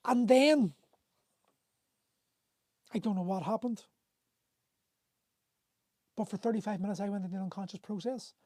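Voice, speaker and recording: A man's voice: high-pitched, normal speed, long pauses, a little mellow, no room sound. A middle-aged man hosting a livestream, telling a personal story candidly and emotionally, swearing freely and often stopping to restart his sentences. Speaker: host. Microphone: phone on a livestream.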